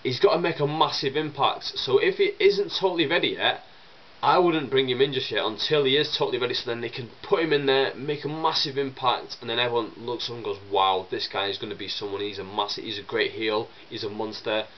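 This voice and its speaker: A man's voice: medium pitch, a little fast. Young man, chesty cold, rambling chatty vlogger, dull lo-fi recording, faint hiss. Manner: chatty and rambling, many fillers and restarts. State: chesty with a cold, coughing and sniffling. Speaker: vlogger answering viewer questions. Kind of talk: casual solo Q and A vlog.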